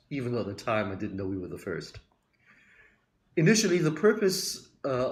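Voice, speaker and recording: Older guy from hong kong and australia, medium pitch, measured prepared talk into his phone or webcam at home. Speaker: community organizer. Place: room at home. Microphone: phone or webcam at arm's length.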